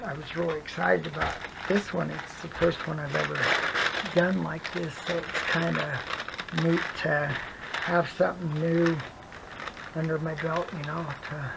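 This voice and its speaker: An adult craft vlogger chatting casually while working, with a hoarse voice that keeps cutting in and out, rambling softly and drifting into whispers. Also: mid-range voice, little pitch movement, unhurried, heavy background noise.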